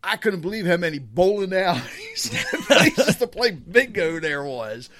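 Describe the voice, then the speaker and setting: A man's voice: sits high, a little slow. A man with a Baltimore accent hosting a podcast, chatting casually and joking with guests. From Baltimore area, USA. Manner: animated, laughs often, jokey. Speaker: podcast host. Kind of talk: casual interview chat.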